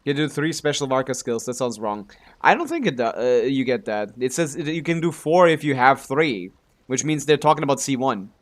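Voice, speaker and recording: A man's voice: mid-range voice, lively intonation, brisk. A man chatting casually as he livestreams a video game, rambling and complaining with plenty of swearing. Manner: profane, complaining. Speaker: live streamer playing a video game. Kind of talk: casual rambling gaming chat with viewers.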